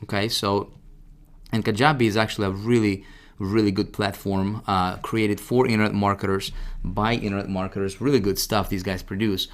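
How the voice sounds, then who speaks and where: A man presenting a casual, informal software tutorial, talking directly to the listener and often checking that they follow. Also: fairly low pitch, little pitch movement, moderate pace. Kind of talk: casual screen-share product walkthrough.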